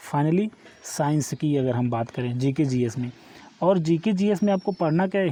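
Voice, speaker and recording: A man's voice: a little high, dark tone. A man giving an informal online exam lesson, instructing step by step and often checking that listeners follow. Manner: instructive, repeatedly checks understanding with tag questions. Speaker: exam coaching teacher.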